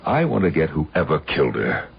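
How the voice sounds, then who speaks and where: A man's voice: extremely low pitch, wildly swooping pitch, normal speed, creaky, slightly rough, exaggerated voice. An actor playing a guarded man pursuing his old love's killer in a radio mystery drama, both in dialogue and narration. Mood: determined. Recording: dull old radio broadcast, music behind.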